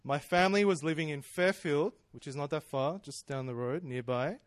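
A man's voice: fairly high pitch, normal speed, no room sound. A man, preaching in a chatty, self-deprecating storytelling way that often breaks into laughs. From Australia. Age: 30s. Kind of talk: personal storytelling sermon.

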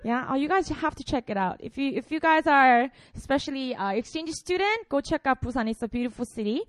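A woman's voice: high voice, lively intonation, fairly quick, dry recording. A woman in her twenties from Korea, a pastor preaching a casual, playful sermon to students. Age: 20s. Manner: chatty and playful, frequent fillers.

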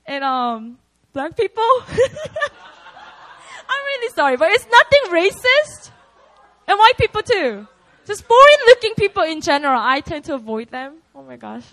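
A woman's voice: extremely high pitch, sing-song, normal speed, pushed and bright. A young woman with a Korean accent preaching at a prayer meeting, candid and chatty, often laughing at herself.

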